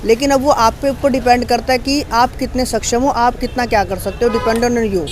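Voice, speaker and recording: A man's voice: very high voice, fairly even intonation, moderate pace. A young man from Delhi, a civil-service aspirant, answering a street interview earnestly and insistently, mixing in English phrases. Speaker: aspirant attending a coaching seminar. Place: busy street outdoors. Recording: crowd noise on a busy street.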